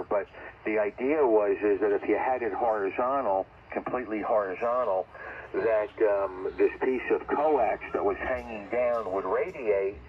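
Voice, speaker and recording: A man chatting casually as an amateur radio operator over shortwave, rambling a little and circling back to repeat his points. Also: lowish voice. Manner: rambling, conversational, repeats himself. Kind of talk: casual ham radio chat. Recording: muffled, noisy ham radio transmission.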